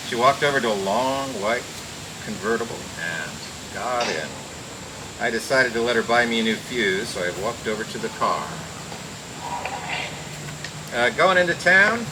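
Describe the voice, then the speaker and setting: A man's voice: medium pitch, lively intonation, normal speed, natural voice. An American man playing a cocky, wisecracking private detective in a radio drama, with a flippant, hardboiled delivery. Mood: hardboiled narration, then flirtatious. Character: wisecracking, cocky private detective, narrating his own case. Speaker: lead actor and narrator. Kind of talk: mid-century radio detective drama acting. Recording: noisy old radio recording.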